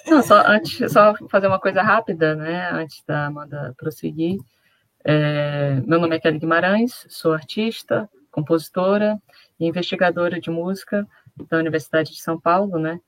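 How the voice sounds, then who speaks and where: A woman's voice: fairly low pitch, moderate pace, fairly bright tone, dry recording. A woman artist and composer briefly introducing herself on a video call. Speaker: artist, composer and music researcher. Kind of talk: short self-introduction in a virtual meeting.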